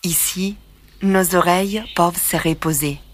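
Slow calm woman narrating. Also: on the low side. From southern Italy. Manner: calm reflective storytelling. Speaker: documentary narrator. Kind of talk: scripted narration.

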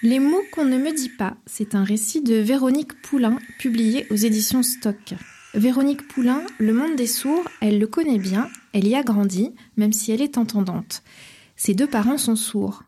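A woman hosting a radio program, reading a scripted book review in measured, short phrases. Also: on the high side, mellow, a little hiss or hum. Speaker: radio host.

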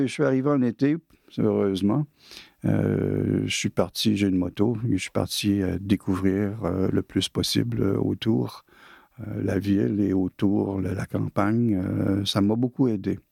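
An older man in his sixties speaks with a Québécois French accent, relaxed and unscripted. His voice sits a little low and has a gravelly edge, and he often pauses or restarts his sentences. The recording is close and dry, with no room sound. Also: dry recording. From Quebec, Canada. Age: 60s. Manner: hesitant, many fillers and restarts. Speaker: interviewee. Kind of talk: casual personal storytelling.

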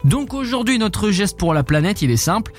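Male radio host with a southern French accent, jokey, high-pitched. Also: moderate pace, faint music behind. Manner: jokey, animated. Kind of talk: morning radio chat.

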